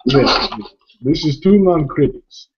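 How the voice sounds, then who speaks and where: An American man with a slightly high voice co-hosts a casual discussion about film. He is from upstate New York and speaks conversationally. The sound is a bit dull and lo-fi. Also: takes it slowly, background noise. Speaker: movie review co-host. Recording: dull lo-fi recording.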